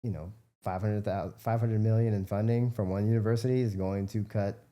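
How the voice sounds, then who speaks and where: This is an American man with a lowish, very mellow and relaxed voice. He speaks as a guest in a casual interview. His answers are informal and wander as he explains himself. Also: fairly quick. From United States, Midwest. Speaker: interview guest, biomedical researcher and entrepreneur.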